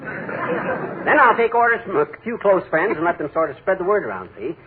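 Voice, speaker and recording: A blustering, boastful husband. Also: male voice, sits high, big pitch swings, normal speed, narrow, tinny audio, a crowd in the background. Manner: blustering, big-talking, folksy slang. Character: boastful scheming middle-aged husband. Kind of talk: 1940s radio comedy acting. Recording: scratchy 1940s radio broadcast, hiss.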